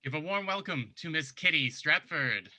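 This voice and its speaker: A man's voice: a little high, expressive, moderate pace, pushed and bright. A man playing a corny, awkward robot-themed burlesque emcee in a table read, with a stagey announcer's delivery. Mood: showy announcing.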